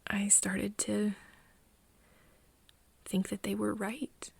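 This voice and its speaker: A woman acting an earnest, wistful friend in an intimate ASMR roleplay, speaking softly and haltingly, often near a whisper. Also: mid-range voice, level tone, dry recording, heavily acted. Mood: wistful, sad. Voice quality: soft, breathy, often whispery. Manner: hesitant, halting, pausing often.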